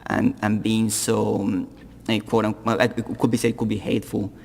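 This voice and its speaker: A male panelist from Colombia with a Colombian Spanish accent, a native Spanish speaker, giving halting remarks at a public forum and often repeating words. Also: fairly low pitch, level tone, gravelly, big-room sound.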